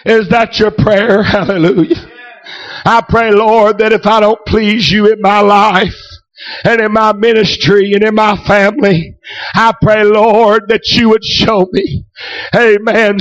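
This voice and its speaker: A hoarse preacher, raspy from a week of preaching, delivering a fervent sermon that he punctuates constantly with amen and hallelujah. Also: very high voice. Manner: peppers every phrase with amen and hallelujah, bursts into shouts. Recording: dull lo-fi recording.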